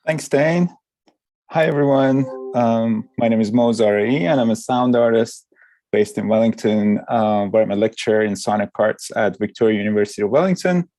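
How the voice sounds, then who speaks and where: A man's voice: lowish voice, normal speed, dark tone. Man from Iran giving an artist talk. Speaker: sound artist and university lecturer.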